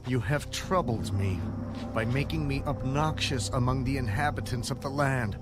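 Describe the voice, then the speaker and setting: A man's voice: medium pitch, moderate pace, music in the background, natural voice. A male actor playing a troubled, reproachful old biblical patriarch in a dramatized audio Bible, sounding anguished and fearful.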